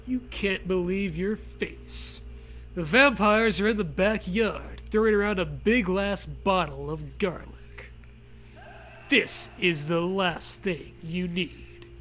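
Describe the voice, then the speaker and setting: A man trading casual comedic banter and reading aloud, joking in a deadpan, profane way. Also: high-pitched, slow pace, a little hiss or hum. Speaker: co-host of a comedy reading show.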